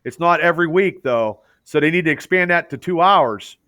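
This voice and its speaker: A man's voice: a little high, expressive, normal speed, pushed and bright, no room sound. A man co-hosting a podcast, ranting bluntly about wrestling with plenty of swearing. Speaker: podcast co-host. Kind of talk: casual wrestling chat.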